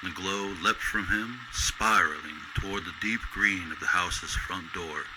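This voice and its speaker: Deep-voiced male storyteller over noisy ambience. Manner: measured, literary storytelling delivery. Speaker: narrator. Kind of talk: scripted storybook narration in golden-age radio drama style.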